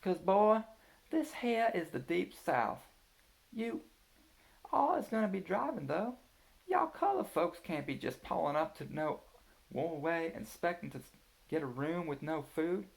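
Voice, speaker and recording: A man doing a loud, drawling Southern bully. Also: a little high, big pitch swings, a little slow, vocal fry, pitched above the performer's own voice, heavily acted. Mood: mocking. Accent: put-on Southern US drawl. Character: joking father putting on a loud drawling Southern lawman voice.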